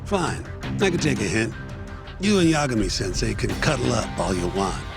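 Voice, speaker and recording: A man's voice: mid-range voice, very animated, moderate pace, creaky, over music. A man voicing a sulky, grumbling character in a video game cutscene.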